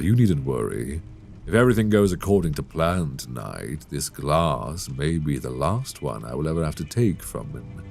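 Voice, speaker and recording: A man playing a suave, sadistic vampire lord in an intimate roleplay audio, courtly and teasing with quiet menace, savoring his words. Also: very deep voice, heavily acted. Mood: menacing, teasing. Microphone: close binaural microphone. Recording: close binaural mic, clear background noise.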